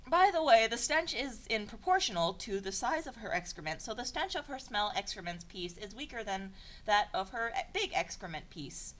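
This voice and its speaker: Brief: young woman, fairly high, very lively pitch, deadpan comic reader who trips over garbled lines and snaps into sarcastic asides. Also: moderate pace. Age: young adult. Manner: deadpan reading broken by exasperated sarcastic asides; stumbles and self-corrects over garbled sentences. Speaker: channel host reading fan fiction. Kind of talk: comedic dramatic reading.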